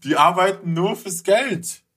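A man with a bright, forward voice chats on a casual podcast. He tells things in an animated, playful way, as if recounting favorites among friends. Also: high voice, measured pace, breathy edge. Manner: animated storyteller, acts out mock quotes and sound bits. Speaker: podcast panelist. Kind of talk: casual podcast chat about childhood cartoons.

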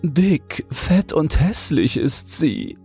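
A man voicing spiteful young green frogs in a fairy tale, with a disdainful, drawn-out way of talking. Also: fairly high pitch, expressive, a little mellow, faint music behind, voice pushed up, full character voice. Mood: scornful.

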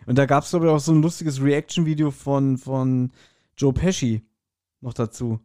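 A man's voice: mid-range voice, steady tone, normal speed, dark tone, no room sound, clean studio sound. A man co-hosting a podcast in casual chat, giving his opinions in slangy, explanatory talk. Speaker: podcast co-host.

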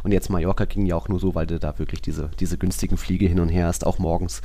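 Low voiced young guy on a football podcast, rambles along casually, very colloquial. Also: steady tone, fast, warm tone. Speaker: football podcast co-host reporting from a match.

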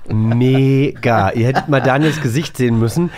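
A man's voice: medium pitch, normal speed, very noisy. A man hosting a podcast, chatting casually and nerdily about audio dramas. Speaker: host of a radio play podcast. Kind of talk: casual nerdy chat.

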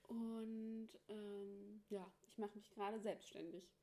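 A woman's voice: medium pitch, little pitch movement, takes it slowly, mellow. A 27-year-old woman with a Thuringian accent, a podcast guest chatting easygoingly, often laughing and giving short replies. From southern Thuringia, Germany. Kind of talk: casual chat.

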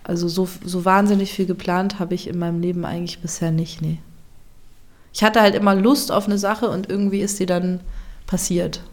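A woman chef in a casual interview, speaking reflectively and often pausing to search for words. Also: on the low side, fairly even intonation. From Germany.

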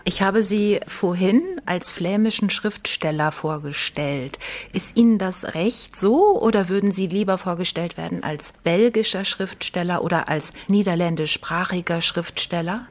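A woman journalist hosting a German book podcast, asking precise, measured questions of an author. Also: on the low side. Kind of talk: interview questions.

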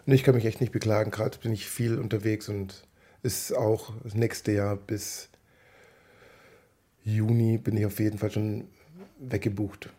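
This is a man with a head cold, talking in a husky, slightly rough voice. His tone is low and mellow. He chats in an upbeat, loose way as a podcast guest. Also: flat delivery, unhurried. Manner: upbeat, chatty. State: has a cold. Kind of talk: casual chat.

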